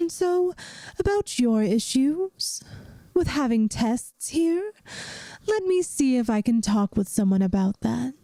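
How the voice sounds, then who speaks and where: A woman voicing a kindly, wry vampire math teacher in an ASMR audio roleplay, speaking gently and soothingly straight to the listener. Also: fairly high pitch, expressive, takes it slowly, no echo. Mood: reassuring.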